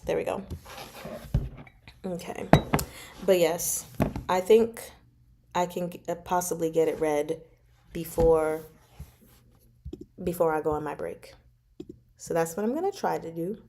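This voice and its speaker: A woman chatting casually as a livestream host, informal and expressive, drawing out words for emphasis as she answers viewers. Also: low voice, a little slow, pauses often, noisy background. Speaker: host of a book club livestream. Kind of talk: casual chat, reading and answering viewer comments.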